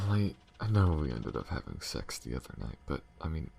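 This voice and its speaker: A man playing an alien spaceship captain in an intimate ASMR audio drama, speaking haltingly. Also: a bass voice, big pitch swings, creaky, a little mellow, a little hiss or hum, full character voice. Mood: tender, confessing. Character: adult male alien spaceship captain, tender lover, hardened to enemies.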